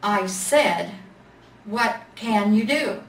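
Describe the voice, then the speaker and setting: A big, gruff toy bear from a children's storybook, acted out by a woman, speaks in blunt, impatient grumbles. The sound is roomy and distant, with some background noise. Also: medium pitch, expressive, drawn-out words. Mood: gruff, demanding. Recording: roomy, noisy, distant library mic.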